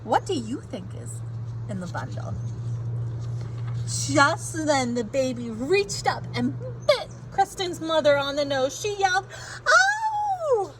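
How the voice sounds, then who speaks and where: Animated, high-voiced woman reading to children. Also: noisy background. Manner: exaggerated, drawn-out words and sound effects; pauses to ask listeners questions. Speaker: teacher reading aloud to children. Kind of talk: animated children's picture-book read-aloud.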